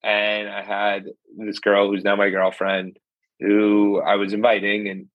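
Host, male; casual and upbeat, deeper register, dry close recording. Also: completely flat delivery, unhurried, no echo, dull audio. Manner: upbeat, casual, "what's going on guys". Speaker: podcast host. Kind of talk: casual podcast interview.

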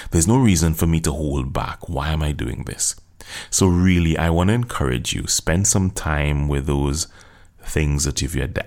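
A man's voice: rumbling low, darkish tone. A man hosting a solo podcast on confident speaking, talking earnestly and encouragingly straight to the listener. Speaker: podcast host and speaking coach. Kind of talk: solo motivational podcast talk.

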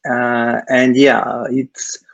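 A man from Serbia, answering interview questions haltingly over a video call, filling pauses with lots of uh. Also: medium pitch, level tone, vocal fry, no room sound, muffled recording. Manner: hesitant, many uh fillers. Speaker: guest, startup technical founder.

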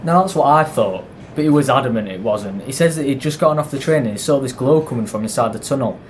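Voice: medium pitch, expressive, fast talker, lightly acted. A performer playing a gossipy, grumbling male railway worker in an amateur audio drama. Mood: gossipy, uneasy. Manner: colloquial, conversational. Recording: faint background noise and ambience.

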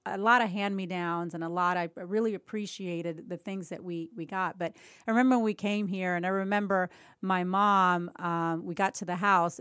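A talkative woman in her forties, a native Spanish speaker who grew up in Cuba, recalling her past in an easy, rambling way. Her voice is smooth and clear, recorded close and dry with no room sound, and she often restarts her sentences and breaks into laughs. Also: lowish voice, fairly bright tone, no echo. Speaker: interviewee, former pro football cheerleader. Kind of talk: casual conversational storytelling.

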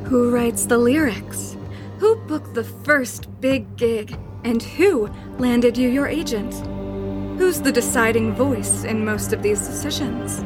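An actress playing a commanding, taunting spirit woman who haunts a manor in a horror audio drama, with an insinuating manner. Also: on the high side. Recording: voice over prominent background music.

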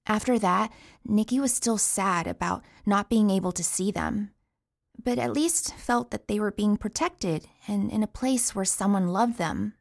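A woman's voice: medium pitch, unhurried, vocal fry, no echo, studio quality. An actress playing a blunt, indignant, grieving female inmate telling a story in an audio play monologue. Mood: sad. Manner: blunt, profane.

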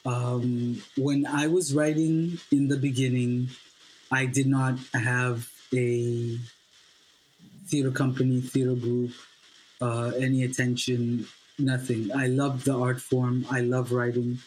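A man whose first language is Swahili talks as a playwright guest in an interview, his pitch sitting in a middle range. He talks earnestly, halting on fillers before he builds into emphatic, repeated phrases. A faint noise sits under the recording. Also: flat delivery, a little mellow, low-quality recording. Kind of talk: podcast interview.

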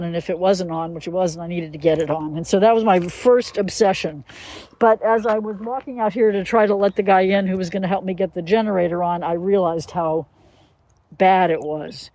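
A woman's voice: medium pitch, dark tone, dry recording. A woman who works at a garden, talking conversationally in an interview outdoors. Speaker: botanic garden staff interviewee. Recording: faint outdoor ambient noise.

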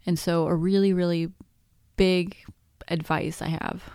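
A woman's voice: lowish voice, little pitch movement, a little slow, a little mellow, clear, resonant tone, dry recording, studio quality. A woman in her thirties whose first language is Spanish, hosting a podcast and giving chatty, self-deprecating advice on baby sleep. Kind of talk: casual advice talk.

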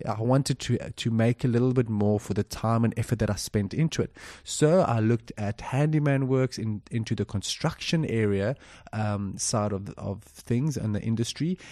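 A man's voice: medium pitch, warm tone, dry recording, studio quality. A young adult man with a South African accent, co-hosting a podcast and telling his history with upbeat enthusiasm. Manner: upbeat, enthusiastic, with some restarts. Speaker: podcast co-host. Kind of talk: casual self-introduction.

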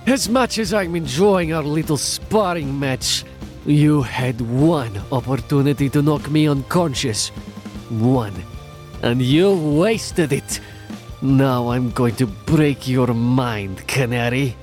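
A man playing a taunting, grandiose supervillain in a superhero audio drama, with theatrical, pompous, drawn-out delivery. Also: medium pitch, darkish tone, faint music behind, lightly acted. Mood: menacing.

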